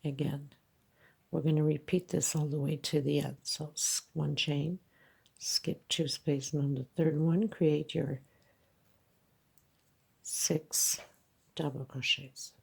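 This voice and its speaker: Congested woman crochet teacher, unhurried, gravelly and husky, calm step-by-step guidance. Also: sits low. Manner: slow, counting stitches aloud with frequent okays. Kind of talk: hands-on tutorial narration.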